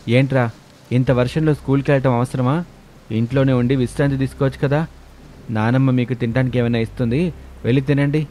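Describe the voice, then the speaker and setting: A man's voice: mid-range voice, steady tone, a little fast, long pauses, mellow, slight background noise, lightly acted. Man acting an exasperated family man. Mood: mildly annoyed. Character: exasperated adult son and easygoing father.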